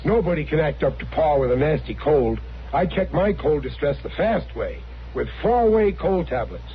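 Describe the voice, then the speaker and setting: A man's voice: a little high, big pitch swings, normal speed. A man giving a scripted testimonial in a 1950s radio advertisement. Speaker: testimonial spokesman in commercial. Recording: dull vintage radio recording, faint noise.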